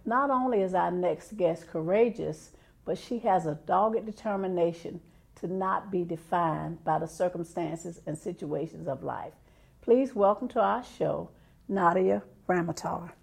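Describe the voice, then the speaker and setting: A woman hosting an interview show, warmly encouraging and quick with affirmations. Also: on the low side, vocal fry, breathy edge. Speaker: show host.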